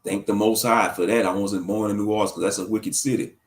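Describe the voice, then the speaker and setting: A man's voice: on the low side, fairly even intonation, brisk. Male livestream commentator; loose, slangy, preachy ramble while reading the chat. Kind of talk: casual livestream talk answering chat.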